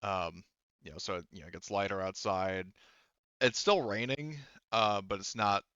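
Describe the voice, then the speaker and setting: A man running a tabletop roleplaying game as game master, feeling his way through the narration with halting, repetitive phrasing. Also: on the low side, bright, forceful tone, clear, resonant tone, no echo, highs cut off. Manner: halting, hesitant phrasing, repeats "you know" and "like".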